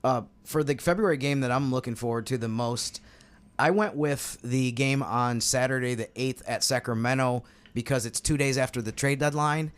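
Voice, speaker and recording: A man's voice: mid-range voice, normal speed, clean tone. An American man speaking as a sports podcast analyst in a casual, conversational style. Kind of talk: casual basketball talk.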